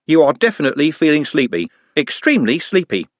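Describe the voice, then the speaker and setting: Guy doing a breezy young fella, bright and offhand, a bit higher than his own voice. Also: big pitch swings, fast talker, no echo. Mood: cheerful. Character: cheerful, easygoing young man.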